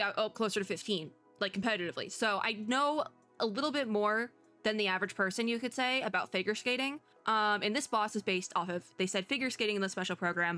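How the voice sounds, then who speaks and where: Bright-voiced, excitable woman streamer. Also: mid-range voice. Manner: excitable, rambling, expressive. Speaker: streamer, VTuber. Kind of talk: casual chatty game reaction commentary.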